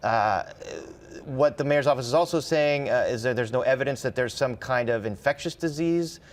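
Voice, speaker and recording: Male American reporter, medium register, informal analysis style, halting delivery as he thinks aloud. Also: mid-range voice. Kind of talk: conversational news analysis. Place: TV studio.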